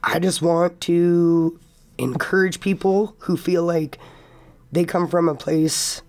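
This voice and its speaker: A hoarse young woman, a student guest on a college radio interview, speaking earnestly and reflectively while sick. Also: on the low side, slow pace, a little mellow. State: hoarse from illness. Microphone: studio microphone.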